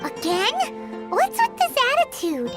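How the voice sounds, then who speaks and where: A woman voicing a tiny childlike fairy companion in a video game, squeaky and earnest. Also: wildly swooping pitch, slow pace, over loud music, heavily acted. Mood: indignant. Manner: refers to herself in third person.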